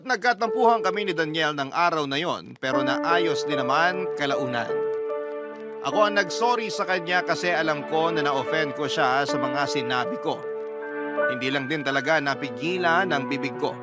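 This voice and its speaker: A man reading a listener's letter as dramatic scripted radio narration. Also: fairly high pitch. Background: prominent background music.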